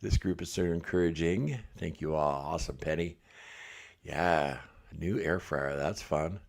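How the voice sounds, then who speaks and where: A man's voice: low voice, a little slow, vocal fry. A middle-aged man hosting a casual livestream, chatting and reading comments, a little congested. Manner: relaxed, chatty, laughs often. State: congested, blocked ear. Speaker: livestream host.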